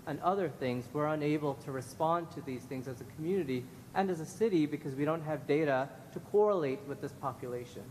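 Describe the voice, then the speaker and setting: A man giving earnest, formal prepared testimony as a member of the public at a hearing. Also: darkish tone. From Guyanese background. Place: large indoor public hearing room. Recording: echoey hall, clear rumbling room noise.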